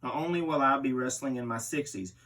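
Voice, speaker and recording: A man's voice: mid-range voice, a little fast, a bit bright, lightly acted. A man reading aloud as a brutal, boastful barbarian pro wrestler in a menacing promo style. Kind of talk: interview read aloud as a wrestler. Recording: distant microphone.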